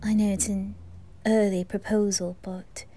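A woman voicing a wounded, lovestruck teenage boy in an ASMR roleplay, soft and breathy with a hesitant, tender manner. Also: mid-range voice, little pitch movement, a little slow, close to an everyday voice. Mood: tender, relieved. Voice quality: soft, breathy, often whispering.

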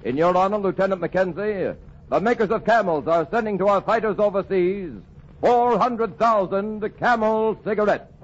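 A man delivers a scripted tribute in 1940s radio style. His voice is high for a man. The recording is dull and dated. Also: moderate pace, slight background noise. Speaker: radio announcer.

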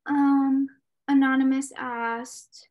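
Woman moderator for a live Q&A; friendly, brisk, slightly high-pitched. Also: flat delivery, darkish tone. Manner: friendly, reads out viewer questions. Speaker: event moderator. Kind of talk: live online Q&A.

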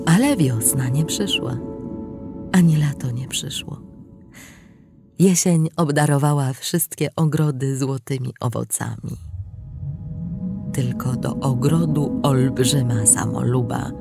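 A woman narrating a scripted tale dramatically, deliberate and expressive, with pauses and hushed phrase endings. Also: very deep voice, gravelly, loud music behind the voice. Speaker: storybook narrator.

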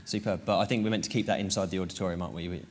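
A man moderating a panel of students, asking questions quickly with friendly joking asides. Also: low-pitched, fairly even intonation.

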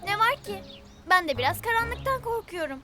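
An actor voicing a cheerful, caring little character in a preschool cartoon, in a squeaky childlike voice with simple exclamations. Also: big pitch swings, a little fast. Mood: friendly, curious. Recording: loud music behind the voice.

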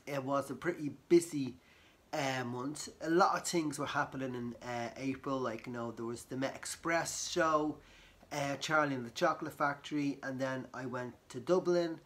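A man, croaky from a cold, chatting casually to camera from his living room sofa and rambling with many fillers and restarts. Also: fairly high pitch, fairly bright tone. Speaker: vlogger.

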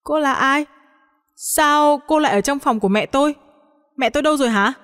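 A woman's voice: high-pitched, fairly quick, fairly bright tone, voice pushed up. A woman voicing a worried middle-aged son and father, earnest and questioning. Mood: alarmed. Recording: long hall-like reverb on the voice.

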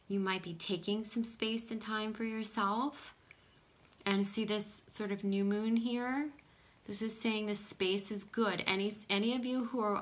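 A woman giving a casual oracle card reading in a small room at home, her voice a little scratchy from pollen, soft-spoken and sometimes dropping to a whisper. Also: medium pitch. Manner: soft-spoken, drifting into whispers, frequent "okay?". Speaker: spiritual card reader.

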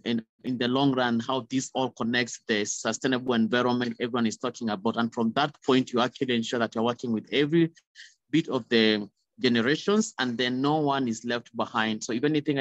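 A young man with a Kenyan accent giving a measured, informal panel answer over a video call. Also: medium pitch, dry recording. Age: young adult. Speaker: panelist, youth conservation leader. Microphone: video call, close device. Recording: muffled, dull video call audio.

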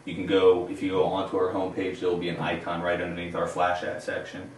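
A man's voice: sits low, monotone, quick pace, a little hiss or hum. A man in his thirties co-hosting a casual talk show about bowling gear, easygoing and chatty, recorded from a far camera in a studio room. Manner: easygoing, chatty, jokes around. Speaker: co-host. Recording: echoey room from a distant mic.

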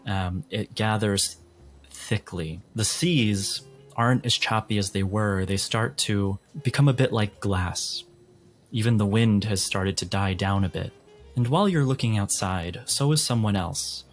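A man narrating a tabletop game as game master in a deliberate, atmospheric, descriptive way. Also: on the low side, soft background music.

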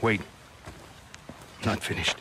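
An actor playing a weary, resentful camp work boss in a video game cutscene, blunt and argumentative. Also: male voice, takes it slowly, background noise, lightly acted. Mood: exasperated.

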